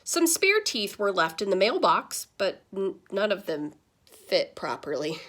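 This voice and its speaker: A woman reading a children's story aloud in the style of a teacher, with dramatic pauses and stressed words. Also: mid-range voice, sing-song, fairly bright tone.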